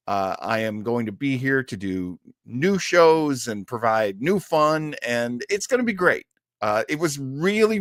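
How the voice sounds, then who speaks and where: A man's voice: mid-range voice, a bit bright, dry recording, clean studio sound. A man hosting a casual live online show, talking to viewers in a chatty, jokey manner. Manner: chatty and jokey, often chuckling. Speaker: actor. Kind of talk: casual livestream chat to viewers.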